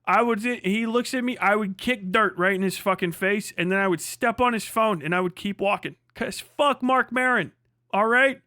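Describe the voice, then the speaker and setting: A man's voice: high-pitched, moderate pace, sharp tone, no room sound, studio quality. A man giving casual, comedic livestream commentary, profane and emphatic, often repeating phrases for emphasis. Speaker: livestream host.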